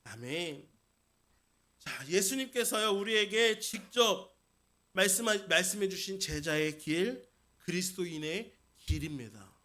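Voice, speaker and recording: A man's voice: sits high, expressive, measured pace, pushed and bright. A man preaching a Sunday sermon as senior pastor in a church service. He speaks emphatically, addresses the congregation directly and repeats key phrases. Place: church sanctuary during a service.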